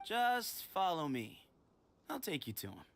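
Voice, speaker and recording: A man's voice: high-pitched, sing-song, measured pace, full character voice. A man voicing a cocky, carefree young desert guide in an anime-style game, teasing and nonchalant in his delivery. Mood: breezy.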